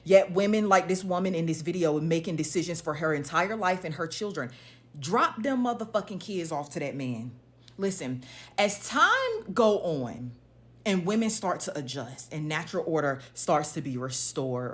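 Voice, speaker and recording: A woman's voice: deep voice, big pitch swings, moderate pace. A woman giving an opinionated monologue to viewers, blunt and emphatic, posing pointed rhetorical questions as she goes. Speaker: social media commentator.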